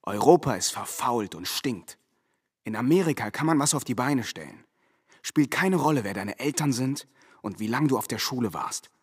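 An actor playing a restless, ambitious young French ship's hand in a radio drama, defiant and emphatic. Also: male voice, medium pitch, animated, moderate pace, breathy edge. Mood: determined.

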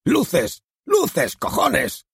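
A man voicing an explosive, self-dramatizing male theater director who rants, swears and shouts in a gravelly, husky voice. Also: low-pitched, very animated, unhurried, no room sound, clean studio sound, heavily acted. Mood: furious.

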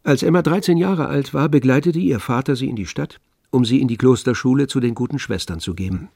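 A man giving measured, articulate literary narration for a radio play. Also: mid-range voice, vocal fry, a little mellow, dry recording, studio quality. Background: music.

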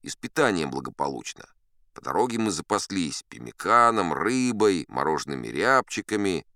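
A man's voice: deep voice, very animated, moderate pace, pushed and bright, no echo, clean studio sound, heavily acted. An actor playing a boastful old sea captain, telling his tall tales in a folksy, self-assured, conversational way. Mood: wry, unhurried. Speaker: audiobook performer narrating in character. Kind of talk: dramatic first-person storytelling.

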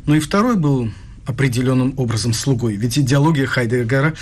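A man's voice: medium pitch, brisk, darkish tone, husky, lightly acted. A man acting in a radio play as a persistent debater who challenges a professor, insistent and argumentative. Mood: insistent, challenging.

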